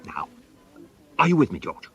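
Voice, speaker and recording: A man playing a middle-aged English gentleman turned schemer in a comic radio play, theatrical and persuasive. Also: normal speed, dull audio, faint music behind, lightly acted. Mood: conspiratorial.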